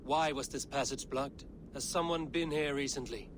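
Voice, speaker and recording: A man's voice: medium pitch, normal speed, fairly bright tone, a little hiss or hum, lightly acted. Havi, brooding king of the gods, played by a man. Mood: commanding. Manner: commanding, brooding. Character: Havi, proud aging male king of the gods. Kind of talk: video game cutscene acting.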